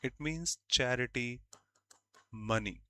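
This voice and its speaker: A man's voice: medium pitch, takes it slowly, pushed and bright, clean tone, dry recording, clean studio sound. A man from India, a Hindi speaker, teaching an online grammar class and stressing key words by repeating them.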